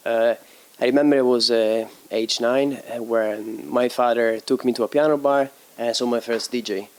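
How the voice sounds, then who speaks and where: A young man from Italy, a DJ and producer answering interview questions in a halting way, often searching for words and laughing easily. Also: medium pitch, steady tone, a little mellow, clean tone. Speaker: DJ and producer guest.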